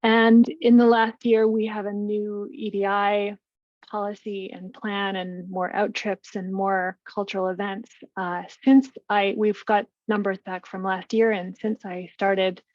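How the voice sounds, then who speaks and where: A woman who manages a seniors network, presenting her program in a webinar with lively energy and some restarts. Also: mid-range voice, measured pace, no echo, lo-fi sound. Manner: energetic, talks through slides with restarts. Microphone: video call.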